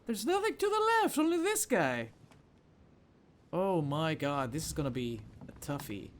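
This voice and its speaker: A man's voice: fairly high pitch, big pitch swings, unhurried, a little hiss or hum. A man giving casual let's play commentary, thinking aloud informally as he works through a game. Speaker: gamer playing a puzzle game.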